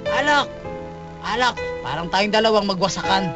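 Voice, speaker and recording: A man's voice: high voice, expressive, moderate pace. A man playing a scheming, lecherous suitor who coaxes slyly and insistently in a comic radio drama. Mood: sly persuasion. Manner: sly, insistent coaxing. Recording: radio broadcast with background music.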